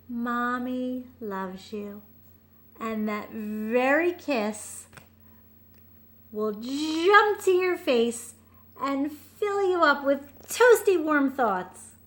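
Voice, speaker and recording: A woman reading a picture book aloud, voicing a gentle, reassuring mother raccoon in a soothing manner. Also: high-pitched, full character voice. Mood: loving, reassuring.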